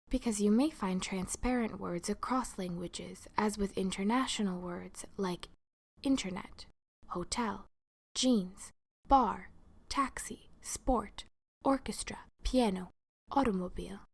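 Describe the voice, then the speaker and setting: A woman with a mid-pitched voice narrates an educational video as a lecturer. She reads from a script in a formal register. Her delivery is measured, and each phrase is carefully articulated. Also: a little mellow, husky.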